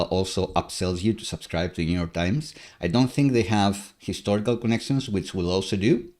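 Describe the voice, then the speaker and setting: A man's voice: sits low, darkish tone. A man in his thirties, not a native English speaker, hosting a casual live coding stream from a room at home, chatty and upbeat with many filler uhs and small laughs.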